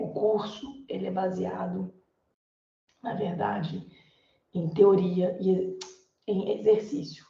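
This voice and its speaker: Hoarse, gravelly Brazilian woman teaching. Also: mid-range voice, warm tone. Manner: chatty and informal, with many fillers and tag questions. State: hoarse from a viral illness. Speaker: Portuguese teacher. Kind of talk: online exam-prep class, lecturing. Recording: echoey, dull lo-fi room sound.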